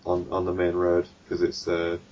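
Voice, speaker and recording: A man's voice: sits low, monotone, moderate pace, a little hiss or hum. A man narrating a tabletop game as game master, hesitant and full of ums, on a distant dull mic. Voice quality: hesitant, filler-heavy.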